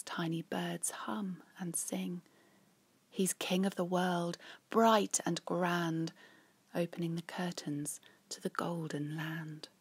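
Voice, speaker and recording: A woman reading a children's story in an expressive, measured and dramatic way. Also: fairly low pitch, breathy edge.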